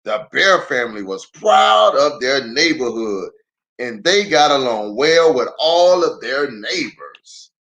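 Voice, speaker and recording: A man's voice: medium pitch, slow pace, rough recording. A middle-aged man, a pastor, reading a children's storybook aloud with playful, chatty asides to the young listeners. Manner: expressive, playful, addresses children directly.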